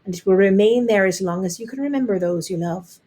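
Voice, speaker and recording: A woman voicing a warm-hearted, hopeful Irish immigrant mother in a storybook, earnest and tender. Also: medium pitch, brisk, natural voice.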